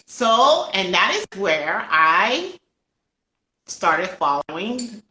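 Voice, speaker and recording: A woman's voice: mid-range voice, very animated, very slow, sharp tone, echoey room, slight background noise, poor audio. An older woman hosting a casual book interview in a chatty, effusive, talkative way. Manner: talkative, effusive with frequent interjections and ready laughter. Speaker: host of a children's book channel.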